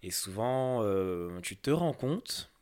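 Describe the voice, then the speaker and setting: A young man from France speaks in a low, unhurried voice, answering questions in an interview. He hesitates often, stacking fillers and restarting his sentences as he gropes for the right words. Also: big pitch swings, a little mellow, clean tone.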